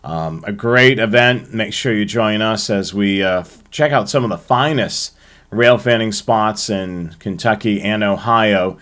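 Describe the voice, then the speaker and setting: An American man hosting a hobby show, presenting to viewers in a halting way with frequent ums and uhs. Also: lowish voice, a bit bright.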